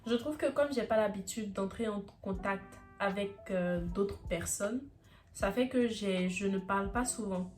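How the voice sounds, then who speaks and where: A woman's voice: medium pitch, measured pace, a little mellow, faint music behind. Hesitant young Ivorian woman. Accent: Ivorian French. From Ivory Coast. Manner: hesitant, searching for words, many fillers. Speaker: YouTuber. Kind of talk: casual vlog talk to camera.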